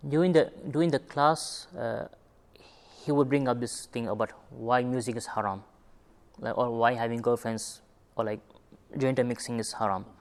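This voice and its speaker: A young man who grew up in Sri Lanka, telling his own story in an interview in an unhurried way, often searching for his words. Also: medium pitch, fairly even intonation. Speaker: former student interviewee. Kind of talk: reflective personal interview.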